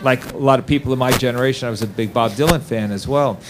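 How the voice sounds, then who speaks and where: A man's voice: mid-range voice, fast talker, noisy background. A middle-aged man from New Jersey speaking earnestly on a panel as an author, often restarting his phrases. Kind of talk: casual panel talk.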